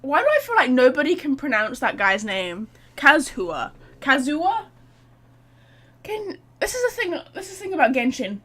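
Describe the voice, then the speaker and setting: Casting: woman game streamer, blunt and jokey, sweary casual chat, lively swooping pitch, bright tone. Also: normal speed. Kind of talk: casual livestream game commentary.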